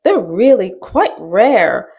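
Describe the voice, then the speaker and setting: Slow, gentle storytime reader woman. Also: mid-range voice, very animated, highs cut off. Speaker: children's storytime host. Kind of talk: rhyming read-aloud for kids.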